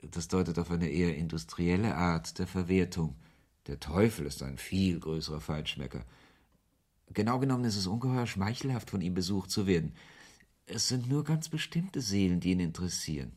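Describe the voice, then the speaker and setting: A man's voice: lively intonation, moderate pace, a little mellow. An actor playing a brooding, ironic author who narrates his own story in a radio play, with a literary, sardonic manner. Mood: ironic musing. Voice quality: low, husky voice. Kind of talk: first-person radio play narration and dialogue.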